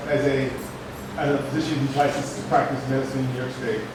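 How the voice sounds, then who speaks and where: A man's voice: medium pitch, flat delivery. Hesitant male physician in the audience, stumbling restarts, far-off mic, echoing hall, noisy. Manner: halting, repeats words and restarts. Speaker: physician asking a question. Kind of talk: audience question at a panel. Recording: echoey hall from far off, loud noise.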